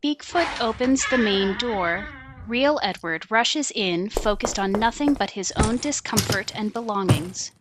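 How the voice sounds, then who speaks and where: A woman's voice: mid-range voice, animated, unhurried, very noisy. A woman narrator reading scripted stage directions for an audio play.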